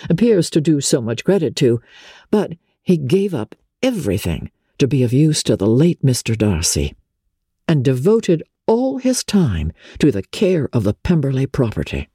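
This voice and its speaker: A woman voicing an aggrieved young Regency gentleman in a dramatic audiobook reading, measured, confiding and mournful in manner. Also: a bass voice, sing-song, breathy edge, no room sound, studio quality, exaggerated voice. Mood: earnest, aggrieved.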